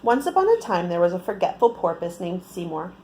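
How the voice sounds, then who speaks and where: Very animated, mellow woman reading to children. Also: fairly low pitch, a little fast, slight background noise. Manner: expressive, asks the listeners questions. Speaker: children's storyteller. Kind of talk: picture-book read-aloud for young children.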